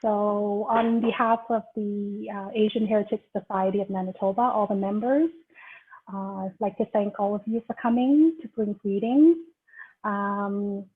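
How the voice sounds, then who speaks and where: A woman's voice: mid-range voice, fairly even intonation, moderate pace. A middle-aged woman from Vietnam informally hosting an online ceremony in a chatty, giggly manner. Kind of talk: informal hosting on a group video call. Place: remote online video call. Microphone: video call microphone. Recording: tinny, band-limited video call audio.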